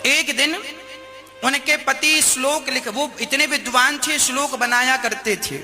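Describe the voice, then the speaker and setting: High-pitched man storytelling in a reverberant hall. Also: a little slow. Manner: conversational, acts out dialogue, explains the moral. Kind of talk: oral moral storytelling, preacher-like narration. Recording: long hall reverb, music underneath. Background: music.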